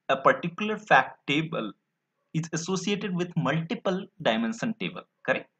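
A man's voice: fairly high pitch, sharp tone. A man gives a slide lecture, explaining step by step with many fillers and restarts. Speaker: instructor. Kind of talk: screen-recorded slide lecture. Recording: dull lo-fi audio.

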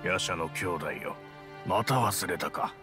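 An actor playing a loyal, weary human warrior in a game cutscene, talking in a familiar, rough and emotional manner. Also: male voice, low voice, takes it slowly. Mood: wry, resigned. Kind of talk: dramatic game cutscene acting. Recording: music under the voice. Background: background music.

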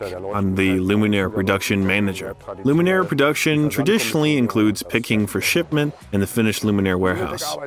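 A man reading scripted corporate testimonial narration in a measured, formal manner. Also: on the low side. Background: background music.

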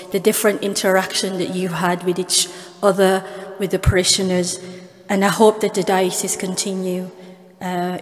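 A woman in her forties with a Filipino accent, Tagalog her first language, giving an earnest guest speech at an award ceremony, speaking into a podium microphone with small restarts along the way. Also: medium pitch. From Philippines. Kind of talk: prepared speech with personal stories. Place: large cathedral. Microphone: podium microphone, far camera. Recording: long cathedral reverb, faint music.

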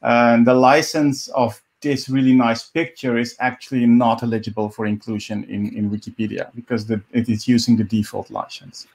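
A middle-aged native Dutch man with a Dutch accent, giving an informal walkthrough on a video call in a halting, repetitive way. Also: medium pitch, little pitch movement, a little mellow. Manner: halting, repeats words, restarts often. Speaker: live stream presenter. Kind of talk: informal screen-share walkthrough. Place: indoors at home, on a video call. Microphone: video call microphone.

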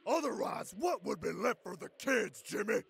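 A man's voice: high-pitched, big pitch swings, normal speed, vocal fry, fairly bright tone, slightly rough, no room sound, exaggerated voice. An actor playing a bombastic, indignant, boastful showman champion in a cartoon parody. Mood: indignant, blustering.

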